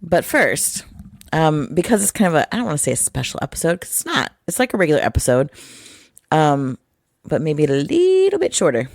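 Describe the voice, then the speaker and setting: A woman co-hosting a podcast in casual chat, her voice hoarse, low and raspy, with dry teasing humor. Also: very animated, a little fast, darkish tone, no echo. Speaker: podcast co-host.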